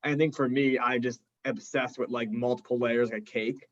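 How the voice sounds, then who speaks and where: A man's voice: mid-range voice, fairly even intonation, a little fast. Man, casual interview chat, joking, rambling, filler "like", slightly muffled lo-fi sound. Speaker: band drummer being interviewed.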